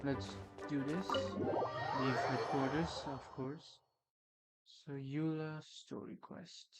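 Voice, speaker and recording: A gamer giving casual let's-play commentary in brief, low-key reactions. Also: steady tone, takes it slowly. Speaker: gaming YouTuber. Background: loud game music.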